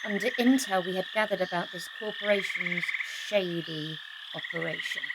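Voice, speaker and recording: A woman playing an anxious but determined resistance agent, halting and gasping, in an audio drama. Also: lowish voice, lightly acted. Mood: guarded. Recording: strongly echoing, faint background noise.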